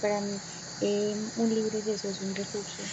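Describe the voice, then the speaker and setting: A woman talks in a level, little-varying voice of medium pitch, reflecting on herself in a casual way. She hesitates and often seeks agreement with small questions. Noticeable noise and insect chirps sit behind her. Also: completely flat delivery, normal speed. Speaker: conversation participant, writer. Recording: muffled lo-fi audio, noisy, insects.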